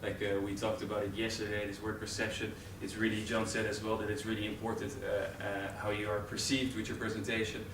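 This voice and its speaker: A man's voice: lowish voice, monotone, fairly quick, few pauses. A young man with a Dutch accent giving a live presentation to a training group, hesitant, with many fillers and restarts. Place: training room with an audience. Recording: roomy, distant camera audio with noise.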